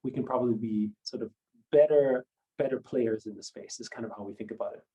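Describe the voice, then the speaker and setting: A man in his fifties, a German native speaker who grew up in Austria, answering interview questions in a measured, reflective way with many hedging fillers. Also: mid-range voice, warm tone, poor audio. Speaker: company founder and guest. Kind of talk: podcast interview.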